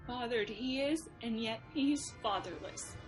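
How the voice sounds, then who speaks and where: A woman's voice: fairly high pitch, a little slow, over music, natural voice. A woman playing an anguished noble mother, bitter and frightened, in theatrical Shakespearean radio drama. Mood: sorrowful, teasing. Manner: theatrical, emotional, declamatory.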